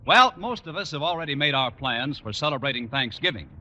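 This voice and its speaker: A man's voice: medium pitch, animated, fairly quick, muffled recording. An American man announcing a 1950s radio show and its sponsors in an emphatic, polished, scripted style. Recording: reverberant old radio broadcast with music.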